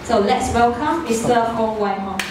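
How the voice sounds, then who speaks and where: A woman host reading a formal speaker introduction at an event, steady with a few fillers. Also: a little high, normal speed. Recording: echoey hall, mic far off, noisy.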